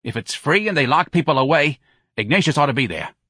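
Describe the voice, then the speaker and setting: Brash working-class woman acted by a male narrator, audiobook dialogue, bossy and scolding, husky, animated delivery. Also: a little high, quick pace, no echo, clean studio sound, pitched above the performer's own voice, heavily acted. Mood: scolding, eager. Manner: pushy, emphatic, scolding.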